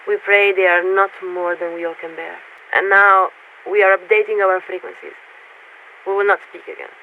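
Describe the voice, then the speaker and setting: Cold official warship officer over tinny radio. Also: female voice, fairly low pitch, level tone. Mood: solemn, official. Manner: formal, clipped, bureaucratic, measured. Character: cold, formal female warship officer speaking for a committee. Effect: radio transmission filter. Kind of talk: scripted video game voice acting. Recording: tinny, narrow-band radio with static.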